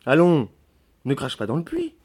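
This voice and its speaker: Man reading as a blunt, animated peasant servant woman. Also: on the high side, normal speed, breathy edge, voice pushed up, full character voice. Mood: teasing. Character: chatty country servant woman, blunt and nagging.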